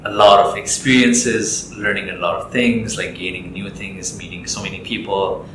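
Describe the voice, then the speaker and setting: A young man from Nepal, a guest in an interview, talking in a relaxed, rambling way with lots of fillers and restarts. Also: low voice, moderate pace, slight background noise. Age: young adult. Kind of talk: casual interview chat. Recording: echoey room with loud music.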